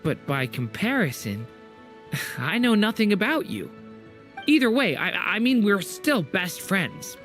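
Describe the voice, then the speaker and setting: A man's voice: sits high, big pitch swings, a little slow, fairly bright tone, soft background music, heavily acted. An actor playing an earnest, kind young male companion who speaks hesitantly and caringly in video game dialogue. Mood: tentative, caring.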